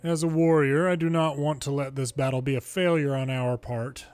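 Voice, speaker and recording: A man's voice: medium pitch, fairly even intonation, moderate pace, a little mellow, clear, resonant tone, no room sound. A man reading video game dialogue aloud dramatically, acting out each character's lines in turn.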